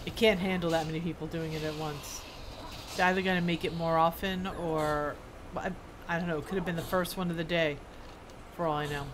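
A woman's voice: sits low, steady tone, a little fast, background noise. A woman streamer giving casual, chatty live commentary on a video game, reacting aloud and reading bits of quest text.

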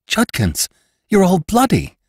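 A man's voice: lowish voice, animated, normal speed, darkish tone, no echo, clean studio sound. A man voicing an anxious, pleading young woman rancher in a western audiobook, with emotional, exclamatory delivery. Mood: alarmed. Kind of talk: audiobook character acting.

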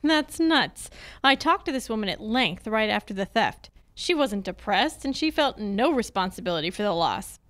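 A woman acting a sharp, skeptical young private detective in an old-time radio mystery, wry and brisk. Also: mid-range voice, lively intonation, natural voice. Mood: skeptical, bantering. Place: theatre stage, live performance. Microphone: handheld-style mic on a stand.

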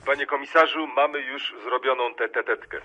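An actor playing a matter-of-fact police lab technician reporting through a telephone filter in a radio play. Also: male voice, mid-range voice, steady tone, brisk, noisy background. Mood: factual. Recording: band-limited simulated phone line.

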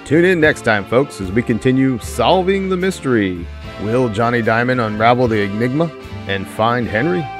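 A man's voice: mid-range voice, expressive, moderate pace, soft background music, lightly acted. A man voicing a gushing old-time radio announcer introducing a mystery show with dramatic promotional flair. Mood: suspenseful.

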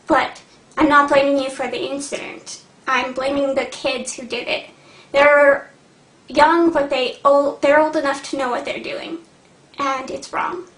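A young woman talking to camera in a casual vlog, hesitant and earnest, with many pauses and fillers. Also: sits high, a bit bright. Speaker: vlogger. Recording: echoey room.

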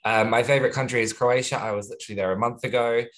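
A man with a softened Australian accent, introducing himself on a webinar in an upbeat, chatty way. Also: medium pitch, steady tone, racing. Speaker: sustainability manager at a nursery group. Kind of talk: friendly self-introduction.

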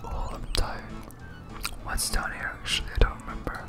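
Whispered voice, ASMR gameplay commentary, casual, rambling, clear music behind. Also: normal speed. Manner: casual, rambling, thinking aloud. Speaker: host of a gaming series.

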